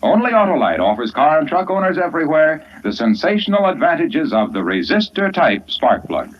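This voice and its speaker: An actor playing a polished, eager radio pitchman in an emphatic 1940s commercial style, on an old radio recording. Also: big pitch swings, fairly quick, highs cut off, a little hiss or hum. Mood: salesman enthusiasm. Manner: emphatic, salesman-like delivery.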